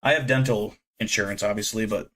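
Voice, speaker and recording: A man's voice: fairly low pitch, steady tone, brisk, a bit bright, a little hiss or hum. A man in his thirties chatting casually with viewers on a live stream, rambling from one thing to the next and swearing freely. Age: 30s. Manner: rambling, profane, self-deprecating.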